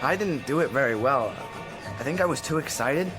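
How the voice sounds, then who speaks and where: A man's voice: medium pitch, expressive, normal speed, over music, natural voice, poor audio. An actor playing a naive, lovesick sixteen-year-old boy in a radio drama, speaking hesitantly and earnestly. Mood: embarrassed, confiding.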